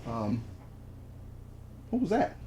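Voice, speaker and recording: A man's voice: medium pitch, huge pitch swings, slow, warm tone, lo-fi sound, a little hiss or hum. A man hosting a podcast in casual chat, who grew up in Virginia, rambling with lots of fillers as he introduces a guest. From Virginia, USA. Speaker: podcast host.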